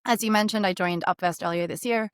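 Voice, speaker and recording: A woman's voice: fairly low pitch, fast talker, clean tone, no echo, studio quality. A woman with an Australian accent, answering interview questions about her career in an articulate, upbeat way. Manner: articulate, upbeat, laughs lightly while telling stories. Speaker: fintech executive guest.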